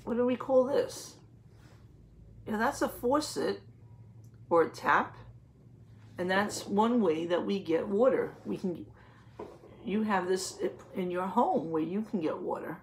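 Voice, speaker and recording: A woman teacher reading a picture book aloud to young children, explaining as she goes and pausing to ask questions and confirm answers. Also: medium pitch, expressive.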